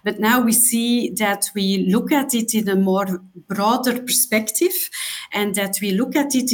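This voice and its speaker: Researcher woman, halting speech. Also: medium pitch. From Belgium. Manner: halting, with frequent fillers. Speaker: guest researcher. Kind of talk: panel discussion answer.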